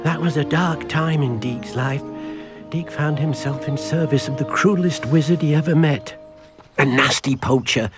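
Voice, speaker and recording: An actor playing a gentle, earnest, small elderly house-elf servant in a video game, who speaks of himself in the third person. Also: male voice, on the high side, moderate pace, over music, natural voice. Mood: sombre. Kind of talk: scripted video game character acting.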